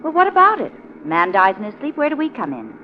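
An actress with an American accent playing a pert, practical, sceptical secretary in a 1940s radio drama. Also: female voice, medium pitch, huge pitch swings, fast. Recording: muffled, noisy 1940s radio recording.

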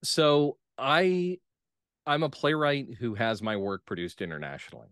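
An American man speaking as a playwright guest in an interview, analytical, measured and thoughtful. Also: mid-range voice, very animated, fairly bright tone, smooth, no room sound, studio quality. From United States.

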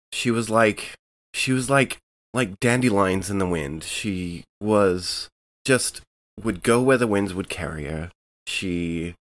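A man takes part in a tabletop game, feeling his way through each sentence with halting restarts. His voice sits low and his pace is slow. The recording is dry and close. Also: dry recording. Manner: halting, searching for words with many restarts. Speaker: player. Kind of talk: tabletop roleplay chat.

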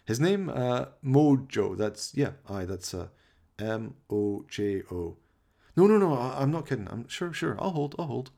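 Man playing a flustered, excitable slacker. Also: mid-range voice, a little slow, gravelly. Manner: hesitant, flustered, exclaiming. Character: hapless, scruffy stoner man, excitable and loyal.

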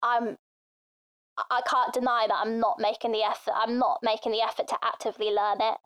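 A woman's voice: fairly high pitch, flat delivery, brisk, a bit bright, clean tone, no echo, clean studio sound. A young woman from England being interviewed, talking casually and chattily with many fillers. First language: Thai. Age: young adult. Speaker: interview guest.

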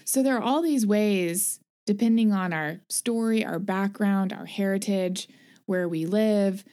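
Woman host gently asking questions. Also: mid-range voice, measured pace, smooth. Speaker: podcast host. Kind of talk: guided discussion.

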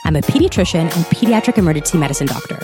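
A woman's voice: deep voice, animated, racing, over music. A woman who is a pediatrician co-hosting a podcast, talking in a direct, no-nonsense way. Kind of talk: podcast intro and casual chat.

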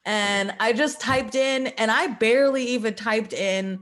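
A woman's voice: on the high side, unhurried, a bit bright. A woman telling things in casual, chatty podcast banter.

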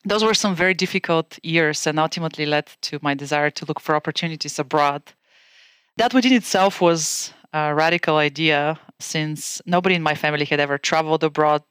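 Bulgarian accent lady in her 40s, smooth clear voice, dry close mic, calm steady telling. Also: low voice, brisk, dry recording. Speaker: guest. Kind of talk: podcast interview, recounting her career.

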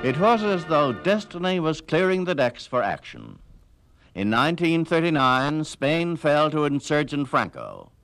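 A man's voice: a little high, sing-song, measured pace, a bit bright, faint music behind. A man reading dramatic scripted radio documentary narration in a grand, weighty manner.

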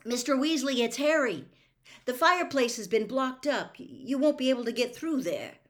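A woman's voice: mid-range voice, sing-song, normal speed. A woman voicing an earnest, helpful teenage boy hero in an audiobook, explaining things. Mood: calling out.

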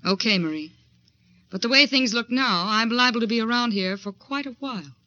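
A woman's voice: fairly high pitch, sharp tone, dry recording. An actress with an American accent playing a confident, wary woman art director in a 1940s radio suspense drama. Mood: frank, pressing. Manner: brisk, direct, challenging. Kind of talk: 1940s radio suspense acting and first-person narration. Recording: dull old radio recording, music underneath.

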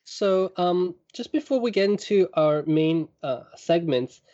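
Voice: mid-range voice, lively intonation, unhurried, warm tone. A podcast host who opens the show and interviews a guest casually, with frequent fillers. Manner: friendly, with frequent fillers and backchannel murmurs. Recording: dull remote recording.

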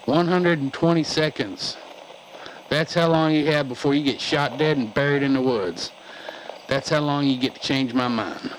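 An actor playing a threatening, terse armed border guard, heard through a car radio speaker in a radio play. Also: male voice, on the high side, moderate pace. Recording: clearly noisy radio transmission.

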